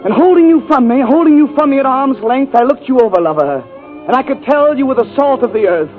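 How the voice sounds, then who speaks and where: A man's voice: extremely high pitch, lively intonation, fast. A man doing a hammy, mock-romantic comedy monologue on a 1940s radio show. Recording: tinny 1940s radio broadcast with band and audience. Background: orchestra music and studio audience.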